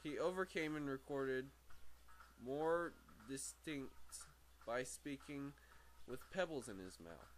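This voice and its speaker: A man's voice: mid-range voice, expressive, takes it slowly, soft background music, rough recording. A man reading aloud from a book as an amateur narrator, stumbling over words, correcting himself and dropping in casual asides. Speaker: amateur audiobook reader.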